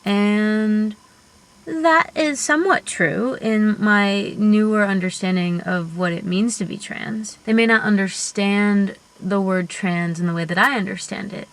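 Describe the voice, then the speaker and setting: Slow, reflective high-voiced young female-bodied vlogger. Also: rough recording. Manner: reflective, earnest, thinking aloud with fillers. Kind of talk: personal vlog monologue.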